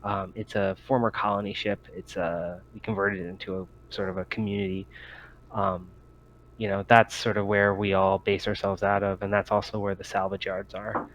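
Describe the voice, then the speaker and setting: A man with a fairly low voice runs a tabletop game. He narrates off the cuff as game master, pausing and feeling for words as he goes. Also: little pitch movement, a bit bright, dull audio, a little hiss or hum. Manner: hesitant, thinking aloud.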